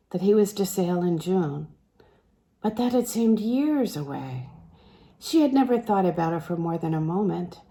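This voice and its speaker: A woman's voice: lowish voice, creaky, dark tone. A woman reading literary fiction aloud as an audiobook narrator. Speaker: audiobook reader.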